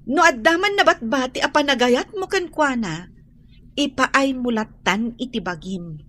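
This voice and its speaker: An actress playing an outspoken, protective young best friend in an Ilocano radio drama, giving emphatic advice. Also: female voice, high voice, lively intonation, a little slow, pushed and bright, no room sound, full character voice. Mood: frank.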